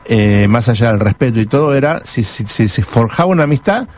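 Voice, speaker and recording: A man's voice: medium pitch, expressive, brisk, a little mellow. A man hosting a conversational radio interview, leading in and out of segments.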